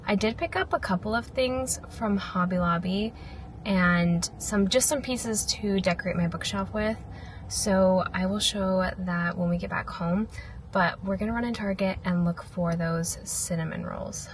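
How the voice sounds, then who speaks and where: A young American woman talks casually in the style of a lifestyle vlog. Her voice is mid-pitched with a slight rasp, and her phrasing is informal and chatty. Faint everyday background noise sits behind her. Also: measured pace. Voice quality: slightly raspy from allergies. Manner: informal, frequent "like" and "really". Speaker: lifestyle vlogger.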